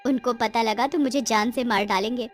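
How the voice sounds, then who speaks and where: A woman voicing an eager but timid young village girl in a cartoon story, earnest and expressive. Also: fairly high pitch, moderate pace, soft background music, close to an everyday voice. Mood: anxious, pleading. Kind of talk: Hindi cartoon story acting.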